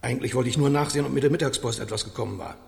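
An actor playing a polite, formal, concerned French lawyer in a German radio crime drama, speaking in a measured, grave way. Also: male voice, medium pitch, vocal fry. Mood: explaining. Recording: long echoing hall reverb.

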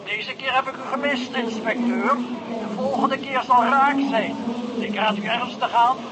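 Menacing anonymous caller, muffled and cold; high voice over a noisy line. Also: male voice, fairly even intonation, measured pace. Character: menacing anonymous man on the phone. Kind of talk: radio drama acting.

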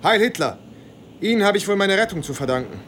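An actor playing a guarded, polite German wartime lieutenant in a radio drama, speaking formally and with some reserve. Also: male voice, sits high, expressive, fast talker, a bit bright, a little hiss or hum.

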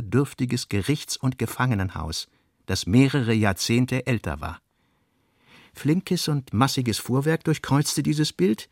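A man narrating a literary radio drama in a measured, deliberate way. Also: medium pitch, no room sound, clean studio sound. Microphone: dry studio mic.

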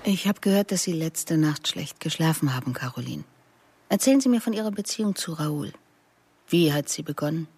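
A woman's voice: deep voice, animated, a little fast, darkish tone, breathy edge, exaggerated voice. A woman acting in a German radio drama as a composed police investigator, formal and controlled as she questions. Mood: matter-of-fact. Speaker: actor playing a police investigator.

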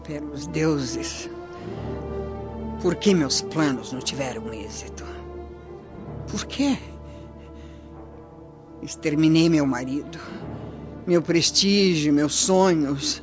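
A woman's voice: low voice, lively intonation. Radio drama role: vengeful, tipsy Roman noblewoman, dragging out each phrase theatrically, muffled recording. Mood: bitter despair. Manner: slow, theatrical delivery. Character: vengeful, drunken, scheming Roman widow. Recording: dull lo-fi audio with music behind.

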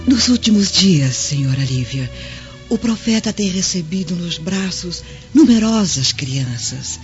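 Slow, devout female servant. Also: lowish voice, lively intonation. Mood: reverent, tender. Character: devout female servant, tender, reverent storyteller. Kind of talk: Brazilian radio drama acting. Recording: dull audio, soft music behind.

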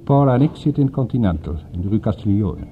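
A middle-aged businessman in a radio play, played by a man, formal and calculating. His voice is on the low side with lively rises and falls, heard through a thin, phone-like old recording. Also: lightly acted. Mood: courteous. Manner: deliberate, formal, polite. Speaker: radio drama actor. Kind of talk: Dutch radio play dialogue. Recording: tinny narrow-band old radio, faint music.

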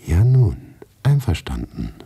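A man plays a polite little lady in a radio play, eager and simple in her replies. The voice is gravelly and husky, lifted a little in pitch. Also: huge pitch swings, takes it slowly, mellow, exaggerated voice. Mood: excited agreement. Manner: short, simple, courteous replies.

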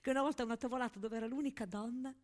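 A woman's voice: on the high side, fairly even intonation, lightly acted. A woman playing a fierce, grieving old village foster mother, telling her story in a confiding, colloquial stage monologue. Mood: wistful, intimate. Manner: confiding, colloquial, emphatic, with dramatic pauses.

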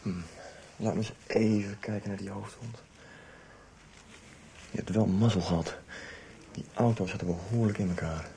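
A man's voice: lowish voice, fairly even intonation, moderate pace, gravelly, long pauses, dark tone. An actor playing an easygoing, hospitable man in a radio soap, casual and matter-of-fact. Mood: easygoing, practical. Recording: background noise, room ambience.

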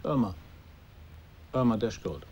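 An actor narrating a German radio drama as a detached, quietly observant storyteller, measured and understated. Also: male voice, on the low side, animated, slightly rough.

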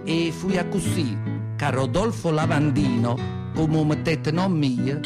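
A man playing an earthy, feisty old Southern Italian woman who tells her memories in expressive Neapolitan dialect, in a theatrical radio monologue. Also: on the high side, slow pace. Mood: wistful. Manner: expressive, colloquial dialect storytelling. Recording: prominent acoustic guitar music behind.

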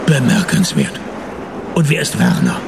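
An actor playing a dry, sardonic male private detective in a radio play, with a creaky voice and wry delivery. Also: mid-range voice, a little slow, background noise, heavily acted. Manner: deadpan, wry. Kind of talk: scripted radio detective narration and dialogue.

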